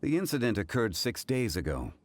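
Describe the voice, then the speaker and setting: An actor playing a calm, dignified male sage in a game, speaking in measured, formal sentences. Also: lowish voice, no echo. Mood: composed. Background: game music.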